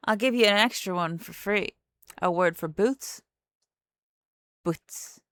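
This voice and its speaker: A woman's voice: medium pitch, slow pace, fairly bright tone, no echo. A woman streamer chatting casually and playfully while playing a game. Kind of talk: casual livestream chat.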